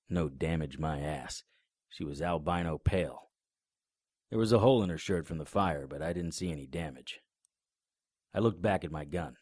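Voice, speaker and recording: A man's voice: low voice, a little mellow, dry recording, clean studio sound. A man narrating a first-person noir horror audio drama in a grim, matter-of-fact manner and voicing its lead character.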